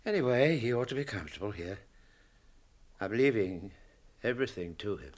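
A man's voice: fairly low pitch, animated, moderate pace. A British man playing a fussy, testy old hobbit in a radio drama, chatty and prickly in manner. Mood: wistful.

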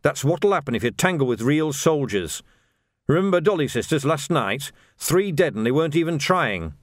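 A man's voice: a little high, very animated, normal speed, no echo, studio quality, pitched above the performer's own voice. A man voicing a hard-headed, defiant city policeman in an audiobook, blunt and argumentative. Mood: scornful, grim.

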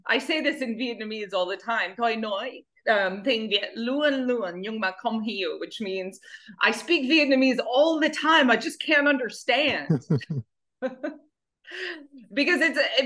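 A woman's voice: a little high, normal speed, fairly bright tone. A woman guest who founded an animal rescue, chatting casually in a rambling, self-deprecating way. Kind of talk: casual interview chat.

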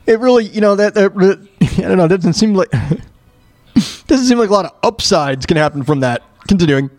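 A man's voice: sits high, expressive, normal speed, darkish tone, no echo. A man hosting a casual talk show, reacting in a folksy way and often groping for words and repeating himself. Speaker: show host.

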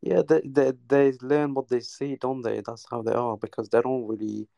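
A man from Newcastle, England, a guest caller chatting casually, talking haltingly with many restarts and fillers. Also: mid-range voice, little pitch movement, gravelly, warm tone, no room sound. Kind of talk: casual chat.

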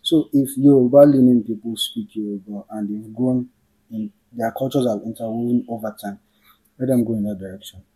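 Mellow husky man talking politics. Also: mid-range voice, fairly even intonation, a little fast, poor audio. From Nigeria. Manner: thoughtful, self-correcting, trails off quietly. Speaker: podcast host. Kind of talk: casual political chat.

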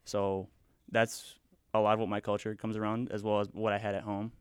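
A man's voice: on the low side, flat delivery, fairly quick, clear, resonant tone, no echo, studio quality. A young man from the US Midwest who grew up speaking Spanish at home, a guest chatting in a laid-back, rambling way. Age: young adult. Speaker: podcast guest. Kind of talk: casual conversation.

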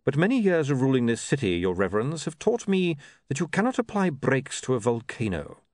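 A man voicing a calm, coolly ironic ruler of a fantasy city, measured and precise, in an audiobook. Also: medium pitch, no room sound, clean studio sound, pitched above the performer's own voice, lightly acted. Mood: calm, pointed.

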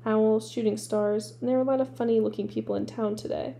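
A woman's voice: a little high, fairly even intonation, a little fast, gravelly, warm tone, slight background noise. Woman voicing a nervous stuffy husband. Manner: hesitant, mumbling.

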